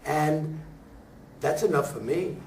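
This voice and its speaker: A man, an actor at a talkback, speaks reflectively in halting, deliberate phrases with emphatic pauses. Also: fairly high pitch, darkish tone, slight background noise. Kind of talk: reflective post-show discussion. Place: room at a staged reading, before an audience. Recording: echoey room, picked up from far off.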